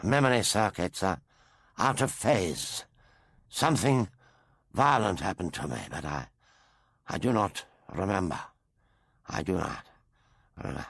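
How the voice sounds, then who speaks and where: Dazed alien being, halting slurred metallic speech, raspy edge, voiced by a man. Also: deep voice, expressive, a bit bright, no echo, studio quality, full character voice.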